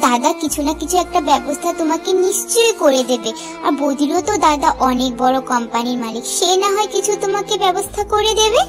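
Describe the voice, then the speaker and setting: A woman voicing a caring young wife in a family cartoon, soft and coaxing. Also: high voice, animated, quick pace, over music. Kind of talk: cartoon voice acting.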